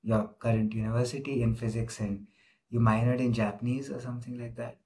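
A man's voice: medium pitch, level tone, a little fast, a little mellow. A man hosting an informal interview over a video call, talking casually with frequent fillers. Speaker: interviewer, host.

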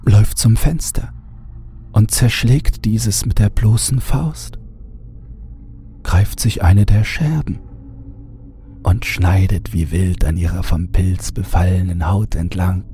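A man narrating a horror audiobook in a deliberate, theatrical way, at times dropping to a hushed tone. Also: deep voice, a little hiss or hum. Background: music under the voice.

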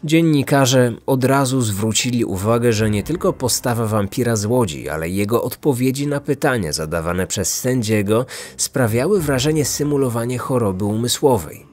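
A man's voice: medium pitch, animated, moderate pace, hardly any pauses, darkish tone. A man hosting a podcast, reading scripted true-crime narration. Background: faint music.

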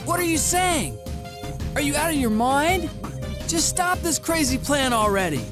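A woman's voice: sits high, lively intonation, a little slow, over music. A woman voicing a plucky, determined boy hero in a video game, earnest and emphatic. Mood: defiant. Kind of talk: cartoonish video game voice acting.

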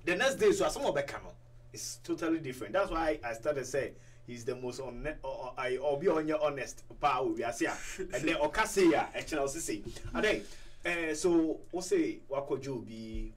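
A man hosting a radio interview, asking questions in halting restarts and mixing in Twi. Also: mid-range voice, lively intonation. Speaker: radio host. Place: small radio studio. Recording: somewhat distant mic.

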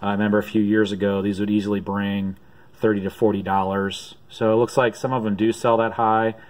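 Man, unhurried show-and-tell, flat even delivery, lowish voice, lots of uh pauses, phone camera at home. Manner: unhurried, many "uh" pauses, low-key. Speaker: vlogger showing thrift and auction finds. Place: home room at a desk.

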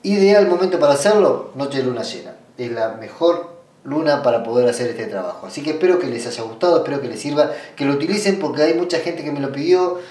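A man with an Argentine accent speaks at a middling pitch, picked up from some distance in a very roomy space. He explains things informally, step by step. His delivery halts and circles back as he rephrases instructions. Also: animated, fast, darkish tone. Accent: Argentine Spanish. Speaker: ritual practitioner giving a tutorial. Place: small indoor room at a table. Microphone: camera mic away from the speaker. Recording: roomy sound, distant microphone.

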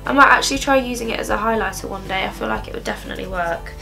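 Chatty young woman with a gravelly, bright voice. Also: medium pitch, racing, faint music behind. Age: young adult. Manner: rambling, casual, frequent "um". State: slightly nasal from a cold. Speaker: beauty vlogger. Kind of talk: chatty makeup tutorial. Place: bedroom. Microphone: camera in front at close range.

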